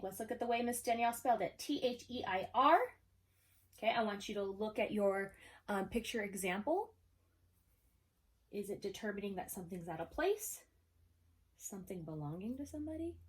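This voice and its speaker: A woman teaching a third-grade class in a recorded lesson, casual and encouraging, checking in with her pupils as if they could answer. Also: medium pitch. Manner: casual, checks in often with okay. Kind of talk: recorded video lesson for children.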